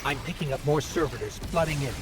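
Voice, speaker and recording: A man as a measured, analytical game ally. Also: mid-range voice, background noise, close to an everyday voice. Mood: alert. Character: analytical, level-headed male technical support character. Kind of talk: video game dialogue acting.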